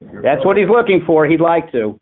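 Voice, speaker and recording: A man's voice: fairly high pitch, big pitch swings, moderate pace, a little hiss or hum. A man casually moderating a press conference call in a wry, bantering way. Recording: tinny phone conference line.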